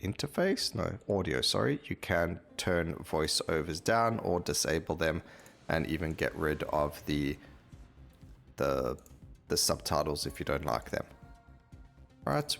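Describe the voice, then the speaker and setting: Low-pitched man doing gameplay commentary. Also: creaky, a bit bright. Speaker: gaming video host. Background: game music.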